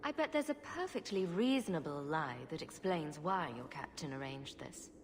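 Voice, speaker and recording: A woman's voice: low voice, animated, normal speed, slight background noise. A woman playing a wry, confident adventurer in a video game, sardonic and self-assured. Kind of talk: video game cutscene acting.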